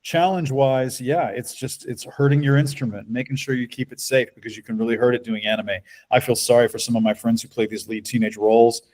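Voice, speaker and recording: A middle-aged man with a low bass-baritone voice, a voice actor talking at length in a casual interview. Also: a little fast, hardly any pauses. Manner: relaxed, talkative, storytelling. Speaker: voice actor guest.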